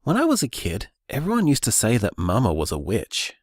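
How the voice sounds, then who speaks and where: Actor doing earnest young guy figuring out magic, lowish voice, swings up and down, dry sound. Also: brisk, no echo. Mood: musing. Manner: thoughtful, musing, lively delivery. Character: earnest young man discovering luck magic. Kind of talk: audio drama, voice-message monologue.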